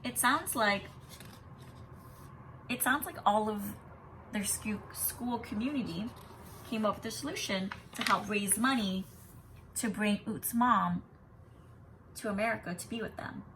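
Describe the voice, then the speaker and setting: Bright-voiced woman reading to kindergarteners. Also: medium pitch, measured pace, pauses often, slight background noise, rough recording. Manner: animated, explanatory, addressing young children. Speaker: kindergarten teacher. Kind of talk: expressive picture-book read-aloud with teaching asides.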